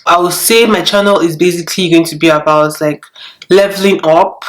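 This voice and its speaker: Young Nigerian woman in her early twenties, slightly low voice, relaxed rambling vlog talk to camera. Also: fairly quick. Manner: chatty and rambling, with many restarts and fillers. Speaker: YouTuber. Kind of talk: casual chat to camera.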